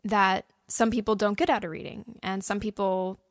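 A woman's voice: medium pitch, fairly even intonation, moderate pace, no room sound, clean studio sound. A woman co-hosting a podcast as a writer, explaining things in casual, informal chat. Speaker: podcast co-host and audio drama writer.

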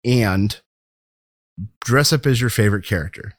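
A man's voice: on the low side, a little slow, dry recording. An American man hosting a podcast in casual, conversational talk. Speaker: podcast host.

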